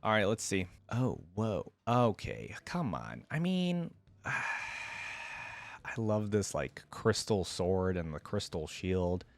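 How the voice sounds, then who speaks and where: A man's voice: on the low side, big pitch swings, takes it slowly, creaky. A man giving casual, unscripted reaction commentary as a video host, thinking aloud informally with frequent exclamations.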